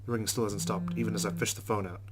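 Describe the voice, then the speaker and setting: A man's voice: fairly low pitch, level tone, rapid-fire. A man hosting a let's play, reading story narration aloud and adding casual commentary.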